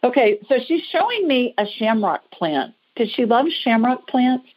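A woman's voice: on the high side, sing-song, normal speed, husky. A woman with an American accent hosting a call-in psychic show, talking in a chatty, informal, reassuring way on lo-fi audio. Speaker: host, psychic call-in reader. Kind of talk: conversational call-in show readings. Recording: dull lo-fi audio.

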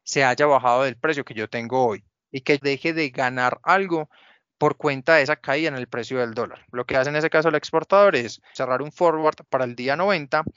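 A man's voice: mid-range voice, a little fast, a bit bright, clear, resonant tone, dry recording, highs cut off. A man speaking as a guest finance expert, explaining didactically through step-by-step examples. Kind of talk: explanatory interview answer.

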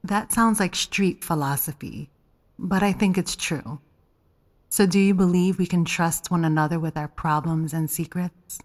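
A woman playing a seductive, probing lover who coaxes softly in an audio drama. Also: on the low side, steady tone, unhurried, natural voice. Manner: soft, coaxing. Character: Delilah, seductive, probing, manipulative adult female lover. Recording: music under the voice.

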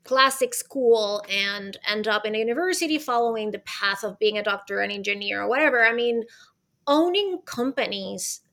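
A woman from Venezuela, a guest on a podcast, talking in a lively, chatty way with many fillers like "right?". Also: fairly high pitch, moderate pace, gravelly. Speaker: guest, arts consultant and author. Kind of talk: podcast interview.

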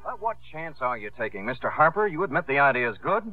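An actor playing a brash, confident young showman and promoter in a 1950s radio drama, pitching insistently like a salesman. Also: big pitch swings, fast, faint music behind, lightly acted. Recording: tinny, band-limited 1950s radio recording.

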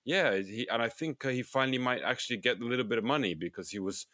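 A tired man with low energy co-hosting a podcast, talking casually and hesitantly, often repeating words. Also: quick pace, sharp tone, no room sound, studio quality. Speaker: podcast co-host, film distributor.

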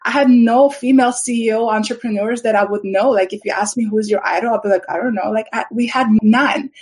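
A woman from Armenia, a podcast guest telling her life story in an earnest, animated way. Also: a little high, brisk. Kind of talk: personal storytelling.

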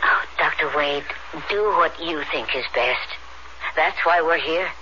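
Worried middle-aged mother, very bright forceful voice, earnest, theatrical, radio drama, dull recording. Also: low voice, unhurried, a little hiss or hum. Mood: hesitant, compliant. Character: anxious, fretful middle-aged mother, frank and resigned. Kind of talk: old-fashioned radio drama acting.

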